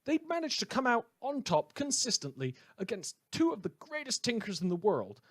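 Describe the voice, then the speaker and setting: A man's voice: high-pitched, wildly swooping pitch, normal speed, fairly bright tone, exaggerated voice. A man playing a chatty, blithely amoral small-town radio host who rambles and corrects himself through a satirical news broadcast. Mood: enthusiastic newscasting. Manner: rambling, cheerfully flippant, self-correcting.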